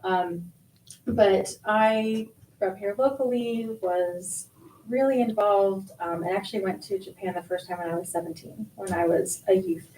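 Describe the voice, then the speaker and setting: A woman's voice: medium pitch, moderate pace, dark tone, roomy sound, slight background noise, low-quality recording. A woman from Florida answering interview questions on a radio show, thoughtful and with many ums. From Florida, USA. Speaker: guest, nonprofit president. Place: radio studio.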